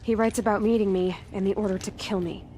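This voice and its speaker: Young female hunter, played by an actress, mid-pitched, self-reliant and matter-of-fact, cinematic game with score behind. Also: steady tone, fast talker, warm tone, soft background music, close to an everyday voice. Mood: resolute. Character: determined, self-reliant young huntress, cool and observant. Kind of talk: video game voice acting.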